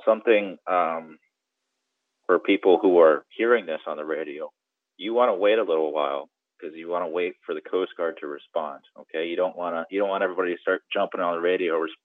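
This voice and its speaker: A man's voice: sits low, fairly even intonation, fairly quick, no room sound, phone-quality sound. A man giving conversational, step-by-step instruction as an expert guest, often checking in with the listener. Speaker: guest boating radio expert.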